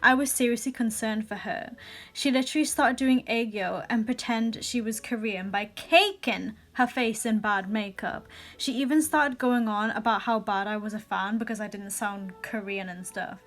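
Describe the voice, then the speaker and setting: A young woman reading viewer stories aloud in a casual vlog, with sassy asides and quick restarts. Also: a little high, a bit bright. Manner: chatty, sassy asides, frequent laughs and restarts. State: recently sick.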